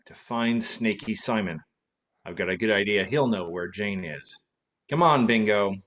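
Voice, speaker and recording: A man's voice: on the low side, unhurried, fairly bright tone. A man playing a wisecracking, commanding former private detective with a clipped, interrogating manner in an old-time radio play. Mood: decisive.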